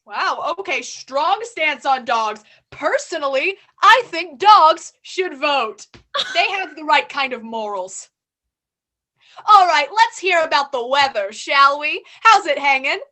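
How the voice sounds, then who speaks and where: A woman's voice: high-pitched, expressive, slow, bright, forceful tone. Bubbly young woman doing an improv show. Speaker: improv performer and show president. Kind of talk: improv comedy scene.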